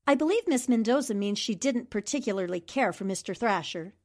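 A woman playing a sharp, polite, articulate newspaper reporter in 1940s radio drama style. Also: mid-range voice, lively intonation, brisk, natural voice. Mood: politely correcting.

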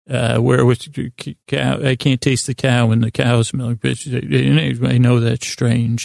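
A man hosting a bedtime podcast in a creaky, gravelly voice, rambling and halting, repeating himself and wandering into absurd asides. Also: medium pitch, a little mellow, dry recording, studio quality. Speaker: podcast host. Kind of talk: rambling bedtime monologue to help listeners sleep.

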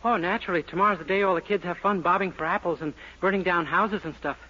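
A man's voice: high-pitched, quick pace, sharp tone, highs cut off. An actor playing a naive, bashful young man who gets flustered easily, in 1940s radio sitcom acting.

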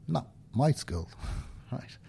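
Man, Dublin Irish accent, fifties, earnest interview guest, warm relaxed tone, faint background rumble. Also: very slow, vocal fry. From Ireland. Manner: earnest, emphatic, tag questions like "right?". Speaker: interview guest, banking professional. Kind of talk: conversational interview. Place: indoors, seated conversation.

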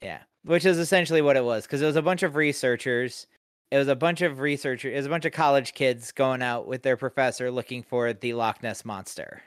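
Dry, halting man on a podcast. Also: medium pitch, smooth, no echo. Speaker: podcast co-host. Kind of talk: casual chat.

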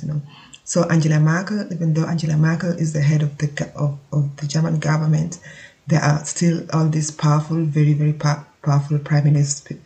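A man with a Kenyan accent explaining things informally as a solo podcast host, haltingly, as if thinking aloud. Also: on the high side, vocal fry, warm tone.

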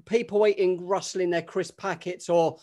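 A man in casual chat as a podcast guest, halting and bluntly exasperated. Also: sits high, fairly even intonation, dark tone.

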